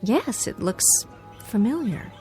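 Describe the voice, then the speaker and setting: A bewildered young career woman in a radio play. Her high voice swings up and down, halting and polite but defensive, with faint music around it. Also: very animated, warm tone. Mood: hesitant, uneasy. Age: 20s. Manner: halting, stammering. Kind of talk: dramatic radio play acting.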